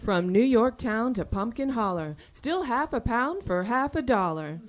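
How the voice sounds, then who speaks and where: An actress playing a coy pitchwoman reciting singsong rhymes in an old-time radio commercial. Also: sing-song, measured pace. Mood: coy, selling. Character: coy female singsong jingle reciter in vintage ad.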